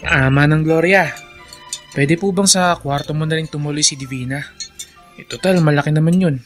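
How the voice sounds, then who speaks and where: An actor playing a kind, earnest young plantation master in a radio drama, polite and sincere. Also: male voice, on the high side, normal speed, faint music behind, natural voice. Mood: considerate. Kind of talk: Filipino radio drama acting.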